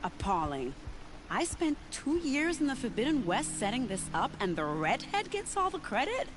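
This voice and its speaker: An actress playing a bitter, menacing covert operative in a video game, haughty in manner. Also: female voice, fairly high pitch, wildly swooping pitch, normal speed. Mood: indignant. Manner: haughty, threatening. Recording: noisy, distant game audio.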